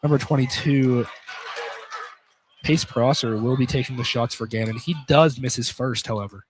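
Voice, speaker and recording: A man's voice: mid-range voice, normal speed, noisy background. A man doing casual, informal live play-by-play commentary of a basketball game for radio. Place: courtside at a college basketball game, noise behind.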